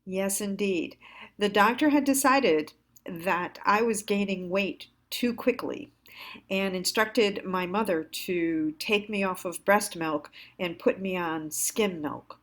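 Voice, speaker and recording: Candid woman telling her personal story. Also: medium pitch. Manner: candid, self-deprecating, halting with pauses. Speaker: storyteller, host.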